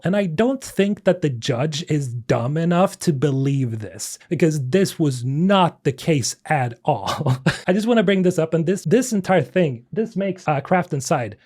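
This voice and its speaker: A young man with a somewhat high voice talks through gaming news in a loose, animated way. He keeps sounding incredulous, leans on fillers and laughs, and his voice has gone a little raspy and tired. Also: measured pace. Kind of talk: casual commentary video.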